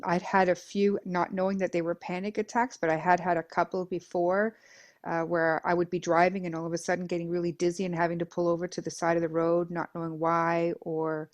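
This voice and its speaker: Middle-aged woman, from Denmark, lowish voice, earnest, repetitive lists, filler pauses, interview guest. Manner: earnest, repeats phrases in lists, many fillers. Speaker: guest, Reiki master and life coach. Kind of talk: reflective personal interview.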